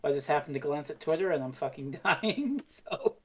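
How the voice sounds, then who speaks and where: A woman's voice: sits low, big pitch swings, normal speed, husky. A woman co-hosting a podcast in casual, joking banter. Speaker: podcast co-host.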